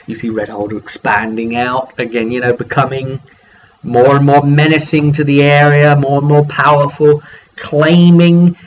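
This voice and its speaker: Male game master, medium pitch, casual and meandering vlog recap. Dull home recording with faint hiss of noise. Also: flat delivery, a little slow. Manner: rambling, conversational, acts out brief dialogue. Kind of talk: casual one-take vlog recap of a tabletop campaign. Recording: dull lo-fi sound, clear background noise.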